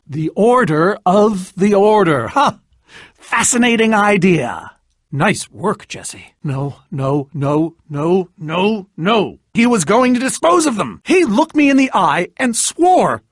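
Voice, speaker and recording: A man's voice: high-pitched, no echo, clean studio sound, exaggerated voice. A man playing a boastful yet nervous, evasive adventurer in a video game, wordy and theatrical, stammering when flustered. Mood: panicked, pleading. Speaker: voice actor in a story video game. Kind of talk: animated video game dialogue.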